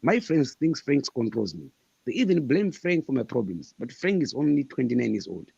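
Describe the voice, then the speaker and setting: A man's voice: medium pitch, big pitch swings, moderate pace, gravelly, warm tone, slightly rough, dry recording, lo-fi sound. A man in his thirties from Zimbabwe, a guest author answering interview questions in an earnest, emphatic way and repeating his points. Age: 30s.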